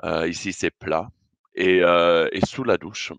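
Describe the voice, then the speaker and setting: A British man with a low, deep voice delivers a prepared presentation. His British accent shows, and his phrasing is measured and hesitant. The sound is dry and close, with little room around it. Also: fairly even intonation, creaky, a bit bright, no echo, lo-fi sound. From Britain. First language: English. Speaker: conference speaker.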